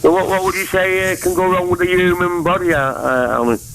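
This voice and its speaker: Mancunian guy on a phone-in, kinda high voice, hops topic to topic, faint hiss. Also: fairly quick, fairly bright tone, low-quality recording. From England. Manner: rambling, jumps between topics. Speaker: caller. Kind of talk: rambling phone-in call.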